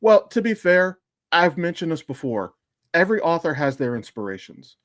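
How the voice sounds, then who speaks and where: A man's voice: a little high, big pitch swings, normal speed, a bit bright, no echo, clean studio sound. A man co-hosting a podcast in casual fan discussion, speaking informally with some swearing. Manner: informal, profane. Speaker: podcast co-host.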